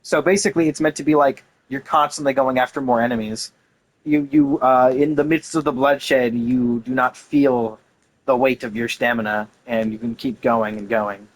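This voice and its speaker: Male gamer, mid-pitched voice, reading lore aloud with casual, enthusiastic commentary. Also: steady tone, normal speed, a little hiss or hum, low-quality recording. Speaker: gaming content creator.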